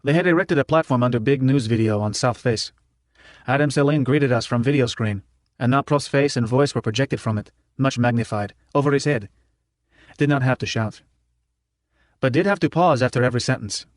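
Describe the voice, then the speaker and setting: Man, audiobook narration, mid-pitched, clipped phrasing, very dry recording. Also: fairly quick, dry recording. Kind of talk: scripted first-person novel narration.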